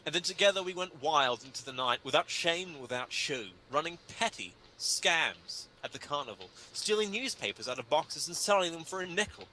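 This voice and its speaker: A man's voice: a little high, expressive, fairly quick, hardly any pauses, bright, forceful tone, slight background noise, rough recording. A man giving a theatrical, pompous dramatic reading of comic prose in a mock-grave manner. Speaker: reader of a humorous article.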